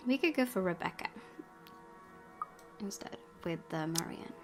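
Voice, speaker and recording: A woman's voice: lowish voice, expressive, unhurried. A woman game streamer reading a visual novel aloud with casual commentary. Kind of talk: let's play narration with casual commentary. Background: faint music.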